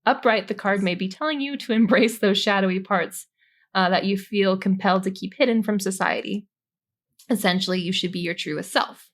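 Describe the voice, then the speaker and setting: A woman's voice: medium pitch, normal speed. A woman co-hosting a podcast, explaining tarot cards in an informal, jokey, giggly way that moves between reading notes and casual chat. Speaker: podcast co-host.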